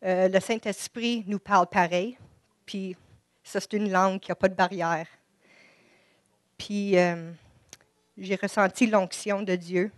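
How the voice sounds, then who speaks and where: A woman with a Quebec French accent, English-speaking by upbringing, giving a halting, shy personal faith testimony at a church microphone. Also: medium pitch, steady tone, no room sound, clean studio sound. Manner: halting, shy, many restarts. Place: church service. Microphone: microphone before an audience.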